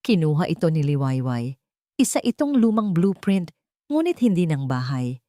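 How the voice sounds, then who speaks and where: A woman's voice: low-pitched, sing-song, moderate pace, mellow, no echo. A woman with a Filipino accent reading a scripted dramatic story as its narrator.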